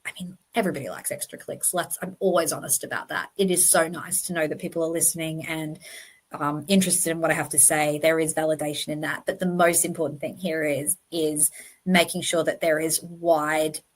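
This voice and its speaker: Female host, true crime commentary. Slightly low voice, slight lisp, earnest and conversational delivery. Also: fairly even intonation, a little fast. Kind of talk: informal explanatory monologue.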